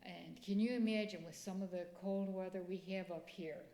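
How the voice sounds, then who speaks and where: Soft-spoken woman in an echoey church. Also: mid-range voice, steady tone, moderate pace. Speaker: ministry speaker. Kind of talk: church presentation talk. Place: church sanctuary.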